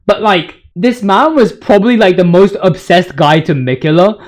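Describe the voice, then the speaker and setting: Casting: male gaming host, noticeably high voice, slangy and fanboyish, big on exaggeration and emphasis. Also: animated, normal speed. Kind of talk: casual, slangy fan commentary on game bosses.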